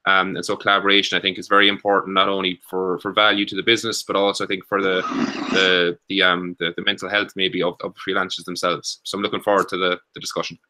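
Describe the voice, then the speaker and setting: An Irish man on a video call, voice sitting low and sounding very bright and forward. He talks haltingly, stopping and restarting often, with a flat, even tone. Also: rough recording. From Ireland. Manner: halting, many restarts and fillers. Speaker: panelist, co-working space founder. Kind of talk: online panel introduction.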